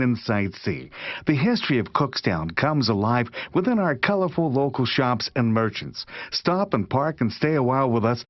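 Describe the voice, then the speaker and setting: A man's voice: mid-range voice, no echo. A man reading a scripted tourism announcement as a community radio announcer. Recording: dry but slightly muffled radio sound.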